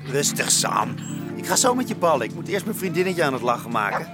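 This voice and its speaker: An actor playing the son of a criminal pub owner in a naturalistic radio drama, talking in a hesitant, reflective way. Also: male voice, a little high, very animated, brisk, over loud music. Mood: teasing.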